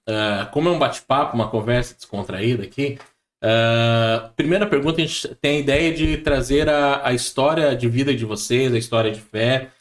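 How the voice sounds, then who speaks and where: A man hosting a relaxed podcast interview, asking slow, hesitant questions full of fillers. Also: medium pitch. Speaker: podcast host. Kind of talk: casual interview.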